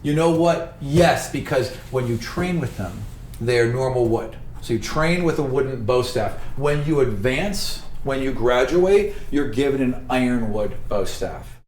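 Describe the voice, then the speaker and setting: A man's voice: mid-range voice, animated, measured pace, a little mellow. Roomy, distant-miked man as game master. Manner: conversational, explanatory. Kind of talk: explaining tabletop game world and rules. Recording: roomy, distant mic with faint noise.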